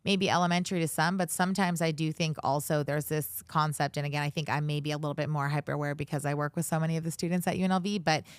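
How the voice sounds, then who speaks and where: A woman's voice: low-pitched, fairly even intonation, fast, hardly any pauses, clean tone, dry recording, clean studio sound. A woman in her forties, a guest in an interview, talking casually with lots of fillers and restarts. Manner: chatty, many fillers and restarts. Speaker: guest, commercial real estate director.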